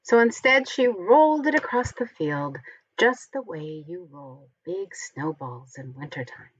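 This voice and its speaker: A woman reads a children's story aloud in an expressive, deliberate way. Her voice is on the low side and husky, and she takes her time over each phrase, enunciating for young listeners. The recording is a little dull. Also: no echo. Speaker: storybook reader.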